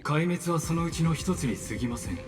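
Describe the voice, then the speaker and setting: An actor playing a courteous, coolly scheming villain in a Japanese video game, formal and insinuating. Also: male voice, on the high side, lively intonation, very fast, natural voice. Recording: long reverb under loud game music.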